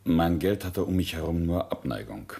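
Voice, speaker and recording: A man's voice: sits low, expressive, normal speed, gravelly, mellow. An actor playing an accused wealthy family man in a radio drama, intense and earnest. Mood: earnest, regretful. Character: accused wealthy businessman, bitter, regretful husband and father. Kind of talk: German radio drama acting.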